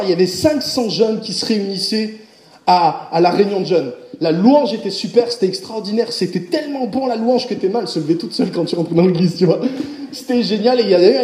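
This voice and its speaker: A man preaching a sermon, speaking directly to his congregation in an animated way. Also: high-pitched, reverberant. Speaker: pastor.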